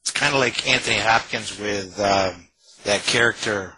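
Male co-interviewer, piercingly bright yet lowish voice, casual delivery, faint background noise. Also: moderate pace, low-quality recording.

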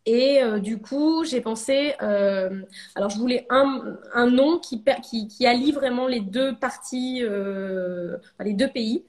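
A woman's voice: fairly high pitch, takes it slowly, darkish tone. A French woman in her thirties, a ceramic artist, talking casually in a podcast interview, hesitant with many fillers and restarts. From France.

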